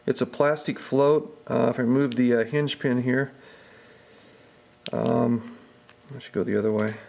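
A man narrating an unscripted repair tutorial as an instructor, speaking in a halting, matter-of-fact way. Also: medium pitch, steady tone, vocal fry, a little mellow. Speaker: small engine repair instructor.

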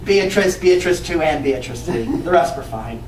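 A man's voice: fairly high pitch, animated, moderate pace, crowd noise. Young man improvising a playful rap. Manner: playful, breaks into loose rhymed rapping. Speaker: improv performer. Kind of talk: improv comedy scene with freestyle rap. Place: carpeted living room, indoors. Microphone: far camera. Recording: roomy sound from a far camera, loud music.

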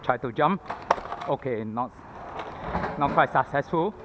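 A man's voice: mid-range voice, lively intonation, measured pace. A Singaporean man with a Singaporean English accent, chatting casually and thinking aloud with fillers as he rides a scooter outdoors, a little out of breath. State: panting from exertion. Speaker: vlogger. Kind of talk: casual chat while riding. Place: outdoors on a city roadside pavement. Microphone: head-mounted action camera mic. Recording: lo-fi action camera audio with street traffic. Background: passing traffic.

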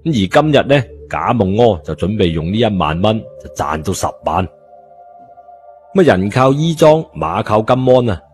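A Cantonese man narrates an audiobook in a fairly low voice. His delivery is colloquial storytelling. Background music runs beneath him. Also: big pitch swings, normal speed, a little mellow.

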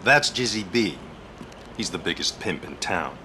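An actor playing a calm, matter-of-fact underworld informant in a video game, measured and understated. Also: male voice, low-pitched, slight background noise, heavily acted. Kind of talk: video game cutscene acting.

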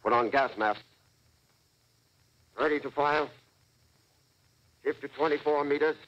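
A man's voice: fairly high pitch, measured pace, natural voice. Male artillery officer actor's role for a vintage 1930s radio play, terse and commanding, muffled old broadcast sound. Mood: urgent. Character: artillery officer barking firing orders. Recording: dull, hissy 1930s radio broadcast recording.

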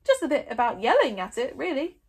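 A woman's voice: high voice, huge pitch swings, fairly quick, husky, full character voice. A woman voicing a self-important, falsely modest Viking man who bellows solemnly in a storybook.

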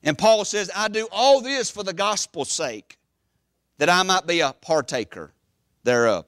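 A middle-aged man preaching an emphatic, animated church sermon, repeating phrases and pausing for weight. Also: high voice, clean tone, dry recording, studio quality. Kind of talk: Baptist sermon. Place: church sanctuary, preaching from the platform.

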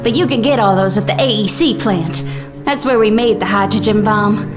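An actress playing a chirpy, sing-song young clerk in a 1950s-style radio drama, with music underneath. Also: female voice, mid-range voice, moderate pace, natural voice. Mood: helpful.

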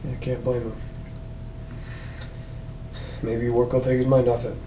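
A man's voice: mid-range voice, completely flat delivery, a little slow, lightly acted. A man playing a cocky, foul-mouthed police detective, brash and slangy, in a script read. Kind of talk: table read of a film script. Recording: echoey room, distant mic, faint noise.

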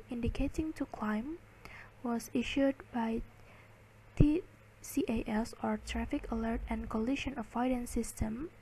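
A woman giving a slide lecture, reading and explaining in halting, word-by-word phrases. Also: a little high, mellow, slight background noise, poor audio.

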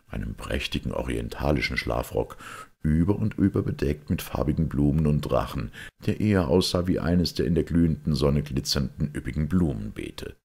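A man reading a detective story aloud as an audiobook narrator, measured and expressive, with a gravelly, husky voice. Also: rumbling low. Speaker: audiobook reader.

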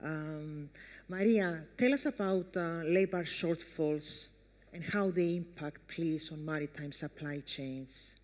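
A woman's voice: sits low, slow pace, a little mellow. Formal female moderator in an echoey hall. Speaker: panel moderator. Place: on stage in a conference hall.

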